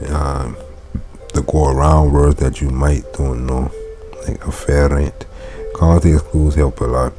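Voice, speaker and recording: A man's voice: extremely low pitch, fairly even intonation, mellow, faint music behind. A man reading a Latin textbook aloud, translating line by line and correcting himself as he goes. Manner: slow, stops to correct and gloss words. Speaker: Latin tutor.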